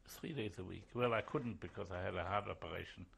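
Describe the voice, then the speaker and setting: Gravelly older man in an interview. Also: low voice, fairly bright tone, slight background noise. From Switzerland and Germany. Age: 70s. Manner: halting, with pauses and fillers. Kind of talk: oral history interview.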